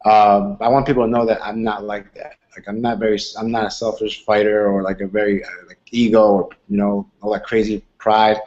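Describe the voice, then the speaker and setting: A man's voice: on the low side, little pitch movement, brisk, hardly any pauses, a little mellow, muffled recording. A man in his twenties from Argentina, a fighter answering interview questions in an earnest, rambling way. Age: 20s. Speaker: MMA fighter.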